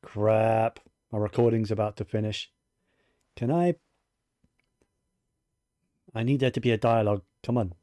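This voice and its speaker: Casual male gamer, unhurried. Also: lowish voice, steady tone, dark tone, no echo, studio quality. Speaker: gaming streamer. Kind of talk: casual game commentary. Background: faint game music.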